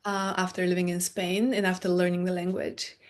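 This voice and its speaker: A woman in her thirties from Bulgaria, a podcast guest telling her story in a relaxed, soft-spoken way that fades quietly at the ends of phrases. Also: lowish voice, brisk. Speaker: podcast guest, meditation teacher. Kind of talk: casual conversation, storytelling.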